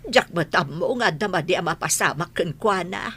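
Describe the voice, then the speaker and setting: A woman's voice: fairly low pitch, normal speed, fairly bright tone, slightly rough, no echo. A woman playing a patient, protective village mother, consoling yet firm, in a radio drama with an Ilocano accent. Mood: defensive.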